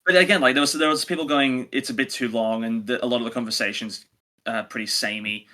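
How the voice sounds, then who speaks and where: Guy with a bright clear-cut voice, casual review podcast chat, opinionated but hedging. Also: mid-range voice, steady tone, fast.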